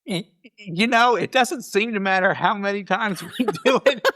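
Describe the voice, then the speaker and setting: An American man with a high-pitched, bright voice speaks as a guest in a casual interview. He is reflective, halting and doubling back on words while he works out a thought. His delivery is that of someone explaining his craft in conversation. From United States. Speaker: podcast guest, storyteller and show organizer.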